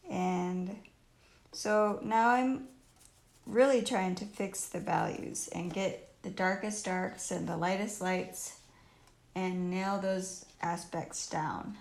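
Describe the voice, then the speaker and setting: A woman with a croaky voice casually teaching painting on a livestream, thinking aloud in short phrases as she works. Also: fairly low pitch, steady tone, takes it slowly. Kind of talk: casual live painting tutorial. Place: indoors at home, live stream while painting. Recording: roomy live stream with faint noise and clicks.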